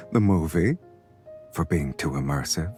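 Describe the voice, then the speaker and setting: A man playing a suave, devoted lover who teases gently and intimately in a romantic audio drama. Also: full character voice. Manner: low-key, teasing, intimate. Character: suave, teasing, devoted adult male lover.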